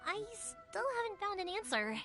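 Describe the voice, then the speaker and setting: A woman playing a cheerful, warm-hearted young firework maker in a video game, chatty and sincere, with a girlish voice. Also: very high voice, big pitch swings, normal speed, exaggerated voice. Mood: earnest, reflective. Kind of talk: video game character acting. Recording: music behind the voice.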